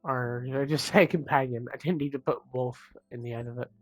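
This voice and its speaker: Croaky man chatting over gameplay. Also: mid-range voice, fairly even intonation, a little fast, no room sound, faint music behind, rough recording. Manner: chatty, rambling, self-mocking asides. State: croaky, sniffly from a cold. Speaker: gaming channel host. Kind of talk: casual gameplay commentary.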